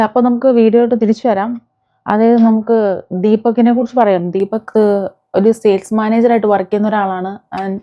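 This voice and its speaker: A woman with a Kerala Malayalam accent giving informal, indignant commentary to viewers, scolding and posing rhetorical questions. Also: mid-range voice. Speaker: video commentator. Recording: dull, muffled lo-fi sound.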